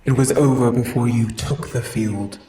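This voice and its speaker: A man's voice: mid-range voice, steady tone, mellow, voice pushed up, lightly acted. Man putting on an echoing, gloating spider monster. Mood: gloating, ominous. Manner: drawn-out, taunting, ominous. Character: gloating, menacing giant male spider god, dying. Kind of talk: dramatic audio fiction acting.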